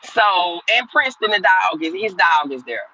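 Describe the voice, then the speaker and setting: A woman's voice: on the low side, animated, moderate pace, sharp tone, slightly rough. Lady in her 50s ranting on a livestream, piercing tense voice, dull lo-fi sound. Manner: rambling and repetitive, talking straight to viewers. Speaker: livestream host. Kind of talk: casual live chat, answering viewer comments.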